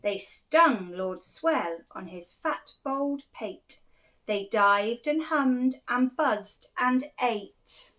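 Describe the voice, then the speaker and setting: A woman reading a rhyming children's picture book aloud, expressively and with deliberate dramatic emphasis. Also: fairly high pitch, animated, pushed and bright. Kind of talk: animated children's story reading, rhyming verse.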